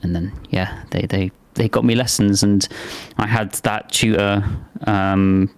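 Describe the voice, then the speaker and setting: A man in his thirties, a guest musician, talking in a relaxed, plainspoken way. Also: fairly low pitch, measured pace, clean tone. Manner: relaxed, plainspoken, with many fillers. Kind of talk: casual interview chat.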